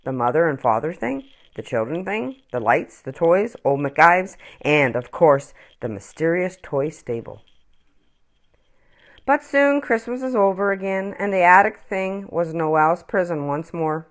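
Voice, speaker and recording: A woman reading a children's picture book aloud as a storyteller, expressively and with dramatic pauses. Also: on the low side, very animated.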